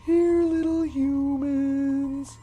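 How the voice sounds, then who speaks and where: A man voicing a monstrous female bear creature, a taunting predator who calls out in slow sing-song for a horror audiobook. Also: very high voice, little pitch movement, dark tone, soft background music, pitched above the performer's own voice, heavily acted. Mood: taunting, menacing.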